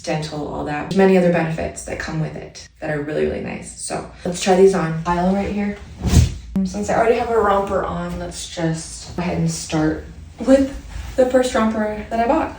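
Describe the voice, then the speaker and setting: A young woman with a hoarse voice from a cold, chatting upbeat to viewers in a casual try-on haul. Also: fairly low pitch, a little mellow. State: hoarse from a cold, partly lost voice. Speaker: vlogger doing a clothing try-on. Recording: echoey room, far from the mic, faint background hum.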